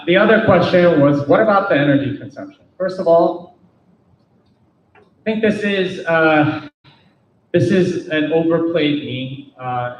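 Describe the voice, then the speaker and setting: Man talking frankly in an echoey room. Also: fairly high pitch. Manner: frank, emphatic, rhetorical questions to the audience. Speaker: speaker answering audience questions. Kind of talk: meetup Q&A talk. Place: meeting room. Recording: roomy, distant, dull lo-fi sound with faint noise.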